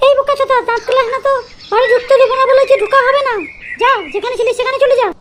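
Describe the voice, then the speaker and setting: A woman playing a bossy, scolding village wife in an amateur comedy cartoon, nagging and commanding through a squeaky pitched-up effect. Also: fairly even intonation, very fast, noisy background. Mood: angry.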